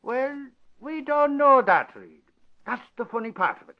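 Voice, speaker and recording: An actor playing an excitable, boastful Irish bodyguard turned sleuth in a folksy, exclamatory 1940s radio style. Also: male voice, extremely high pitch, unhurried, full character voice. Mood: excited, proud. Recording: dull lo-fi vintage radio broadcast.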